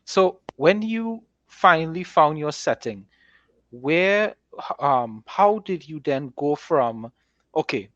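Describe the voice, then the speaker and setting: A man speaking as an author and podcast co-host in a conversational, measured, explanatory way. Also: fairly high pitch, lively intonation, a bit bright, no room sound. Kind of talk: conversational interview discussion. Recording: dry, slightly dull microphone sound.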